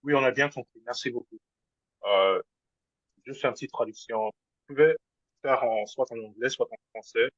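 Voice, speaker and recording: A man moderating a video meeting, hesitant and filling pauses as he hands the floor to others. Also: medium pitch, no room sound. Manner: hesitant, full of fillers, switching between French and English. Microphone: video call. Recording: dull, dry video-call audio.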